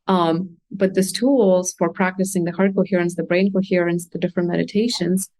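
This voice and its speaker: A woman's voice: lowish voice, fairly even intonation, moderate pace, a little mellow, dry recording. Earnest woman, dry close sound. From Russia. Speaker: podcast guest, consultant and coach. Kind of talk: conversational interview explanation.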